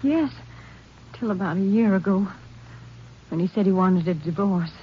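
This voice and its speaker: An actress playing a frightened, distraught rancher's wife, pleading and suspicious, in an old-time radio western. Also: female voice, mid-range voice, normal speed, slight background noise, natural voice. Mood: hurt, suspicious. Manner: overwrought, pleading delivery. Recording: muffled vintage radio recording.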